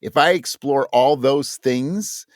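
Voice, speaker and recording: A man's voice: mid-range voice, slow pace, no echo, clean studio sound. A man speaking as an interview guest, conversational and thoughtful, often searching for words and correcting himself. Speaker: interview guest, audio drama writer.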